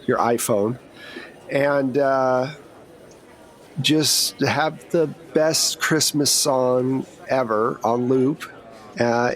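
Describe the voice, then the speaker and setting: Man playing a breezy, blunt agent handler. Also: mid-range voice. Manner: casual, wry, reassuring briefing.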